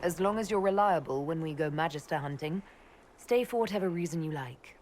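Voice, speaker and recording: An actress playing a composed, dutiful elf mage leader in a video game, speaking in a measured, dry, questioning way. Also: female voice, low-pitched, lively intonation, a little mellow, a little hiss or hum. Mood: probing, dry. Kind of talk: video game dialogue acting.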